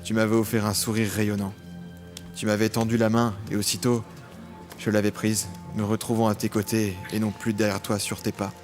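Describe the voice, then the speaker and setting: Un acteur joue un jeune homme tourmenté, ancien orphelin des rues, dans une fiction audio au ton solennel et littéraire. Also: male voice, lowish voice, flat delivery, normal speed, a little mellow, faint music behind, close to an everyday voice. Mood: wistful, solemn. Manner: solemn, literary, nostalgic. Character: brooding young man, former street orphan, recalling childhood with emotion. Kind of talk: dramatic first-person audio drama narration.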